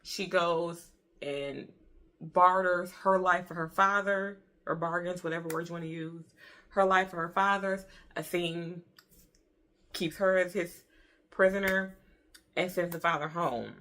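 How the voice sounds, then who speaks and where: A woman's voice: on the low side, steady tone, unhurried, a bit bright. An American woman in her early thirties giving a casual book review in an informal, chatty way, repeating herself for emphasis. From Detroit, Michigan, USA.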